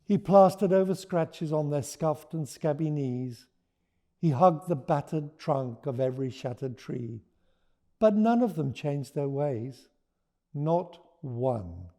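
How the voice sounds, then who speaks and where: A man with a soft, mellow tone reads for children in a slow, measured way. His voice sits a little high for a man, and he phrases each line deliberately, like a practised storyteller. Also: animated. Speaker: children's author reading his book aloud. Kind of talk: expressive storybook read-aloud with teaching notes.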